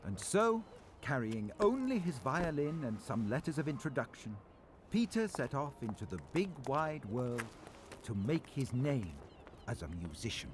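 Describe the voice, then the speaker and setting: A man's voice: medium pitch, sing-song, a little slow, a little hiss or hum. A man narrating a children's cartoon fairy tale. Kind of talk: storybook narration.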